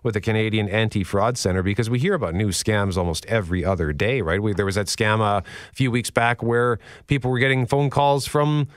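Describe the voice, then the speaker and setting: Deep-voiced man hosting a radio show. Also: a little fast, few pauses, dry recording, clean studio sound. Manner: storytelling, lively and exasperated. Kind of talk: casual morning radio chat. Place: radio studio.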